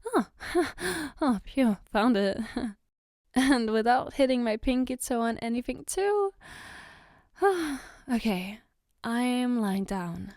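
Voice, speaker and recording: Woman acting a slow, gentle, hesitant girlfriend, high voice. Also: very animated, no room sound, clean studio sound, exaggerated voice. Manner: hesitant, gentle, reassuring, halting. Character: caring, flustered young girlfriend comforting her partner. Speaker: ASMR roleplay voice performer. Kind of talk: ASMR roleplay, spoken to the listener.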